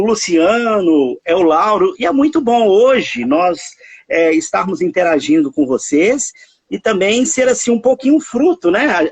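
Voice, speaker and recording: A man from hosts a live stream in casual chat. His voice sits high and swings widely in pitch. It has a husky, dry sound, and he leans on tag questions. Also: no echo. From Minas Gerais, Brazil. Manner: warm, chatty, animated, frequent tag questions.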